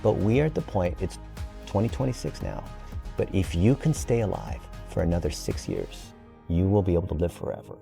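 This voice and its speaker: Low, mellow man in his fifties, emphatic. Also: big pitch swings, fairly quick, vocal fry, faint music behind. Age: early 50s. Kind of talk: enthusiastic conversational explaining.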